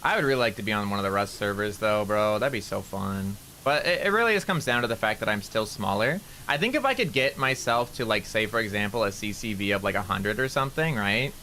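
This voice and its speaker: A man with a fairly low voice and a bright, forceful edge rambles to his stream while he plays. His talk is loose and casual, full of "like" and restarts, with faint ambience behind him. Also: lively intonation, fast talker, few pauses, slight background noise. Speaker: VTuber streamer. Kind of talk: casual stream chat while playing a game.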